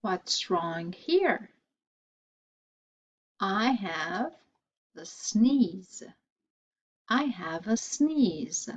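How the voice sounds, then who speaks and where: A woman teaching English vocabulary, repeating each phrase slowly and carefully for learners. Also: mid-range voice, very animated. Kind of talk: slow, deliberate vocabulary lesson.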